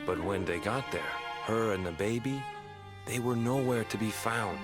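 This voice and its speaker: A man's voice: on the low side, normal speed, close to an everyday voice. An actor playing a young island man who earnestly retells his family's legend. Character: young Hawaiian man, grandson of a cursed fisherman. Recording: game audio over background music.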